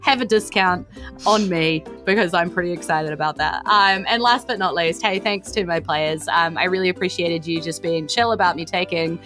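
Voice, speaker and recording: A woman's voice: on the low side, moderate pace, a bit bright, faint music behind. A woman chatting informally and giggly as a podcast host. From New Zealand. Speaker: podcast host and game master. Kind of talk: casual podcast chat.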